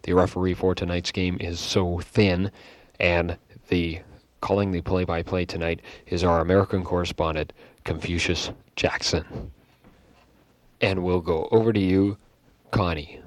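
Deep-voiced mock sportscaster man. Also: level tone, unhurried, no echo. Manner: formal announcer tone, trips over and corrects his words. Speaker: sportscaster in a sketch.

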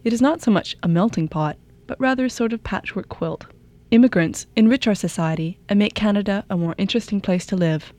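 A woman host reading scripted radio documentary narration in a measured way. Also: medium pitch, a little mellow, dry recording. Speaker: program host and reporter.

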